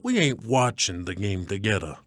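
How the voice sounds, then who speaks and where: A man playing a grumpy, folksy, conspiracy-minded father-in-law in a scripted comedy sketch, gruff and emphatic. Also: lowish voice, sing-song, moderate pace, a bit bright. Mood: grumpy, smug. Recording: noticeable background noise.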